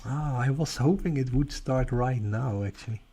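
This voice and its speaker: Dark husky man with a Dutch accent. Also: medium pitch, normal speed. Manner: relaxed, chatty, laughs often. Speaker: astronomy livestream host. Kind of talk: casual live stream chat, reading viewer comments.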